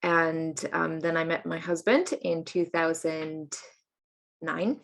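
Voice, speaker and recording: A woman from Germany speaks in a fairly low voice. She is in her late thirties and tells her personal story in an interview, open and chatty, often breaking into laughter. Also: steady tone, slow, gravelly. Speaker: guest, coach and healer.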